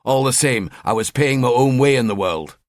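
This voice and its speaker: A man's voice: mid-range voice, lively intonation, moderate pace, dry recording, clean studio sound, natural voice. A man voicing a cynical middle-aged detective with a Scottish accent, grumbling and blunt.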